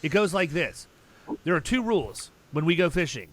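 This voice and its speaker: A man's voice: fairly high pitch, very animated, normal speed, a bit bright. A man hosting a podcast, telling stories in a blunt, emphatic and talkative way, often restarting his sentences. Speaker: podcast host. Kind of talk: casual podcast chat.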